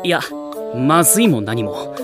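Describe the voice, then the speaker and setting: A man's voice: mid-range voice, sing-song, a little slow, music in the background. A man playing a mild-mannered, good-natured teenage boy in an anime audio drama, speaking casually. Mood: exasperated. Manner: casual, gently exasperated.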